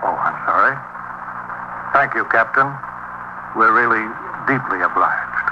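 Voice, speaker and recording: A man's voice: lowish voice, expressive, measured pace. An actor playing a self-important, aggrieved businessman with a defensive manner in a 1950s radio drama. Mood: sheepish. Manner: indignant, defensive. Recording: noisy muffled 1950s radio recording.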